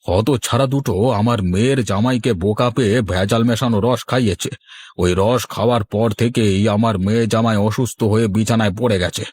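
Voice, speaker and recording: A man's voice: fairly low pitch, steady tone, a little fast, a little mellow, slightly rough, no echo. A man playing a stern, indignant middle-aged village father-in-law in a cartoon, with a threatening manner. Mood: angry, resolute. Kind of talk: cartoon voice acting.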